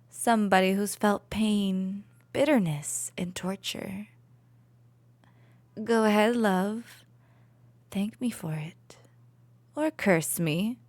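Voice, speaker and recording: A woman playing a cruel, possessive royal villainess in an ASMR roleplay, condescending and taunting with soft, menacing asides. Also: medium pitch, no room sound, lightly acted. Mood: bitter, menacing. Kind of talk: ASMR roleplay, dramatic monologue to listener.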